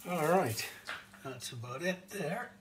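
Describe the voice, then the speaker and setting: A man in his fifties casually narrating his work in a machine shop, relaxed and pausing often with fillers. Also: a little high, far from the mic. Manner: relaxed, talks while working, many "uh" fillers. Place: large home machine shop, indoors. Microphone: fixed camera across the room. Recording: roomy shop echo, off-mic, loud machine noise.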